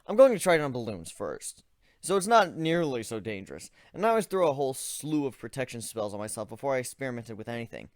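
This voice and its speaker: A man's voice: mid-range voice, very animated, quick pace, no echo. Man reading as a weary, analytical young griffin, dry sound. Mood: focused, explaining. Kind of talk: dramatic reading of first-person fan fiction.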